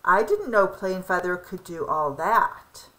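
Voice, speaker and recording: A woman's voice: lowish voice, lively intonation, unhurried, fairly bright tone, rough recording. A woman voicing a showy, tittering blue jay in a children's storybook, with singsong exclamations. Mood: showy, amazed.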